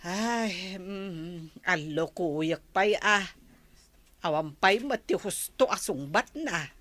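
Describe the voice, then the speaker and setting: A woman's voice: lowish voice, animated, slow pace, fairly bright tone, dry recording. A woman playing an eager, matchmaking village wife in a comedy radio drama, with an Ilocano accent. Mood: pleased.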